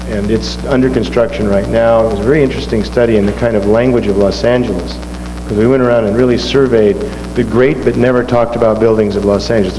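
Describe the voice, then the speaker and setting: Man, lowish voice, halting slide talk, lots of uh and restarts, roomy hall echo, music in the background. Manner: halting, many fillers and restarts. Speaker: architect presenting on a panel. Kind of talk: slide presentation at a lecture. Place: lecture hall. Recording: echoey archival hall recording with music behind.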